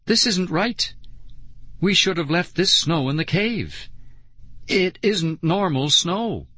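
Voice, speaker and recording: A worried, bossy young girl voiced by a man in a children's audiobook. The voice is raised and bright, insistent and cautioning. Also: high-pitched, animated, slow, slightly rough, no echo, voice pushed up, exaggerated voice. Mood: worried, warning. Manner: insistent, warning.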